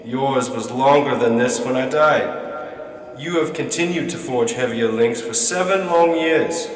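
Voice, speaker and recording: An actor playing a solemn, mournful chained ghost in a radio drama, giving drawn-out warnings through a ghostly reverb. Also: male voice, mid-range voice. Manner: slow, solemn, drawn-out delivery. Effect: heavy ghostly reverb. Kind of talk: stage-like radio drama acting. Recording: echoey long reverb, distant voice.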